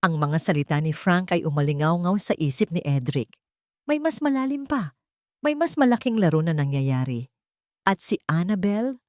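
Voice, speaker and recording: Babaeng nagkukuwento nang madrama. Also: female voice, lowish voice, a little mellow, dry recording, studio quality. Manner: dramatic storytelling, reads all parts herself. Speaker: narrator. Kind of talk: scripted story narration.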